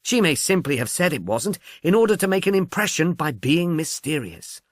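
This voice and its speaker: A man's voice: fairly high pitch, very animated, moderate pace, no echo, voice pushed up. A man voicing a sceptical, exasperated policeman in an audiobook, precise and grudging. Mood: conceding. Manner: dry, complaining, precise.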